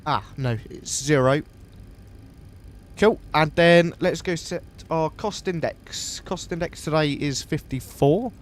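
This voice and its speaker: A man with a sniffly voice from a coming cold, calmly thinking aloud step by step as he streams a flight simulator session. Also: on the high side, takes it slowly. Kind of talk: casual live walkthrough of a flight simulator.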